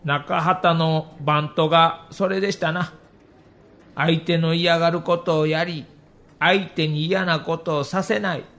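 An actor playing a weary middle-aged baseball manager with a Kansai accent, humble and self-reproachful, speaking in a measured, candid way in an audio documentary. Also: male voice, fairly high pitch, fairly even intonation, fairly bright tone. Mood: resigned, reflective. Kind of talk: acted monologue in audio documentary.

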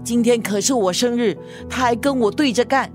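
A woman playing a stubborn old grandmother in a radio drama, grumbling and scolding with sharp retorts. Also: on the high side, moderate pace, music in the background, close to an everyday voice. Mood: huffy.

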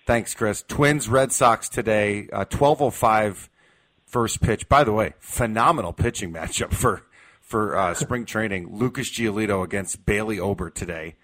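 A man with a fairly low voice hosts live sports talk radio. He speaks off the cuff, in the conversational style of a show host. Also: measured pace, fairly bright tone.

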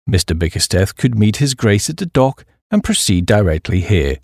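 A man voicing an unflappable English valet, formal, measured and very polite. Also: fairly low pitch, big pitch swings, creaky, darkish tone, no room sound, clean studio sound, voice pushed down, exaggerated voice. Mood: deferential, composed.

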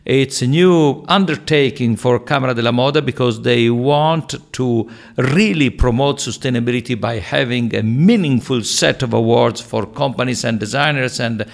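Gravelly Tuscan-accented man. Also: medium pitch, moderate pace. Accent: Tuscan Italian. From Tuscany, Italy. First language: Italian. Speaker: podcast co-host. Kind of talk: podcast conversation.

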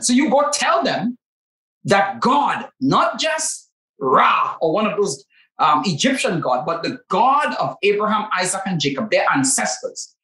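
A man's voice: sits high, pushed and bright. Emphatic preacherly man in a roomy space. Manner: emphatic, repeats words when stressing a point. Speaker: co-host of a Bible talk show. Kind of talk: preacherly faith talk. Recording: roomy echo.

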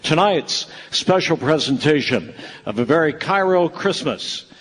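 A man's voice: a little high, lively intonation, unhurried, fairly bright tone, long reverb tail, slight background noise, poor audio. A man announcing and narrating a live radio play on stage, with a wry, theatrical showman's delivery.

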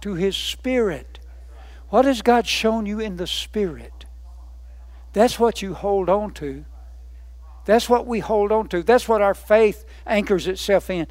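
High-voiced emphatic preacher man. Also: dry recording. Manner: emphatic, builds by repetition, rhetorical questions. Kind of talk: sermon.

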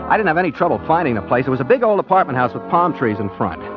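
A chipper private eye, played by a man tells his tale in old radio drama style. His voice is medium-pitched and animated, breezy and guileless, on a muffled recording with music behind. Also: very fast, lightly acted. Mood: chipper, smug. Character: chipper, naive, self-satisfied amateurish male private detective narrating. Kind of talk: 1940s radio acting, first-person narration.

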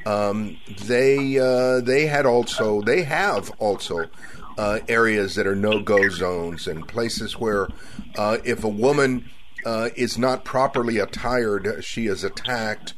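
A man from South Texas hosting a radio talk show interview, speaking slowly and hesitantly with many fillers. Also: on the low side, fairly bright tone, a little hiss or hum.

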